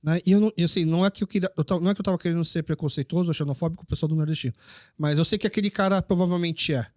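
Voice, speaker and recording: High-voiced man, animated storyteller. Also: very fast, dry recording, clean studio sound. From Brazil. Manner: animated storyteller who acts out dialogue. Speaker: podcast host. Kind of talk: casual conversational interview.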